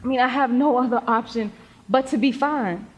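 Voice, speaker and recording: Determined, defensive teenage girl athlete played by a woman, higher-pitched and husky, stage drama in a reverberant hall. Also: normal speed, highs cut off. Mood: determined, weary. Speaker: stage actor. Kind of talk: stage play acting. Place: theatre stage, recorded in the hall. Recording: echoey hall with long reverb.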